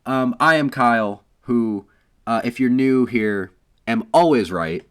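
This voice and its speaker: A man with a hoarse voice from being sick, co-hosting a casual sports podcast and playfully bragging that he is always right. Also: medium pitch, unhurried. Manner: playful, boastful teasing with frequent ums. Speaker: podcast co-host.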